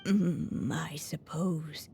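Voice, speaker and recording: An actress playing a frail, wistful elderly writer in a video game, speaking in a measured, breathy, deliberate way. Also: female voice, low-pitched, dark tone, a little hiss or hum, exaggerated voice. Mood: wistful, reflective. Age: older. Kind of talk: scripted video game voice acting.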